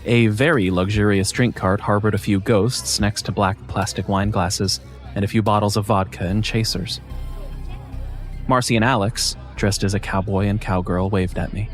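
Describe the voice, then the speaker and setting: A man narrating a first-person scripted story in a measured, wry way. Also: on the low side, steady tone, faint music behind.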